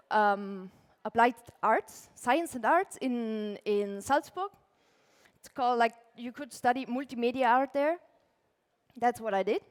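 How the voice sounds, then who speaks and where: A young woman with an Austrian accent gives a relaxed talk from a conference stage. Her voice sits a little high. She chats loosely and fills pauses with "like". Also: in a large hall. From Austria (Tyrol). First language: German. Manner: casual, chatty, many "like" fillers, laughs lightly. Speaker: conference speaker, illustrator.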